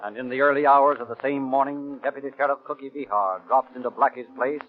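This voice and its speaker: A man's voice: mid-range voice, steady tone, fast talker, soft background music, close to an everyday voice. A man giving scripted narration for a 1930s radio crime drama. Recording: tinny 1930s radio recording.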